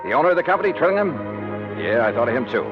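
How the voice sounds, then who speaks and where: An actor playing a hard-boiled, skeptical insurance investigator in a 1950s radio drama, clipped, pressing and wry. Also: male voice, medium pitch, big pitch swings, rapid-fire, music in the background, lightly acted. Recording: muffled vintage radio recording.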